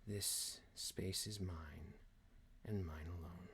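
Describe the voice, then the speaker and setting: A man performing an ASMR roleplay as a calm, genteel boss, speaking in a hushed, deliberate, soothing, often near-whispered way. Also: sits low, a little hiss or hum. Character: calm, genteel male boss leading a relaxation ritual. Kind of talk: ASMR roleplay, guided relaxation.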